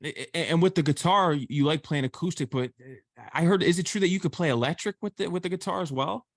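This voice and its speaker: A man's voice: on the high side, fairly quick, no echo, studio quality. A man hosting an interview show, asking eager questions with frequent stammered restarts. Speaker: radio show host, interviewer.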